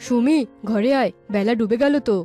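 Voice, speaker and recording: Fairly high-voiced cartoon village mother played by a woman. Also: fairly quick, warm tone, soft background music, close to an everyday voice. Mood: calling. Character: village mother of a young girl. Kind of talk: cartoon dialogue.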